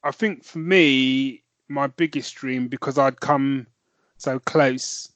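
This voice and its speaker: A man's voice: mid-range voice, fairly even intonation, slow, a bit bright, no room sound. A man co-hosting a podcast in casual chat, rambling and correcting himself, laughing easily. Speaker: podcast co-host and novelist.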